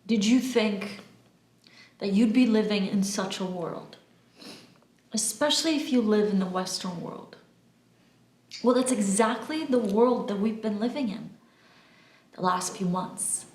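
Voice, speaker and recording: A woman's voice: mid-range voice, darkish tone. Woman speaking emphatically in an echoey room. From Albania. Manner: emphatic, deliberate, with pauses and repeated words. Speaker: spiritual channeler and video host. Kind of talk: spiritual monologue to camera. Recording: roomy, echoing room sound.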